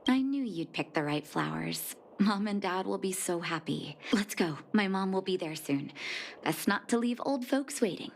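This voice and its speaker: An actress playing a devoted young woman doctor, gentle and heartfelt in manner. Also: mid-range voice, animated, moderate pace, gravelly, slight background noise. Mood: tender. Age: young adult. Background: game music.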